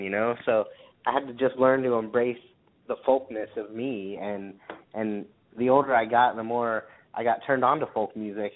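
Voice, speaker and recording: Low-voiced man on a phone line. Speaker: interview guest, folk musician. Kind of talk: casual interview talk. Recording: thin phone line, radio show.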